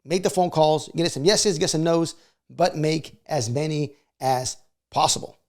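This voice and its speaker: A man's voice: on the high side, measured pace. A middle-aged man giving casual motivational advice as a video host, conversational and often checking in for agreement. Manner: conversational, frequently seeks agreement with short check questions.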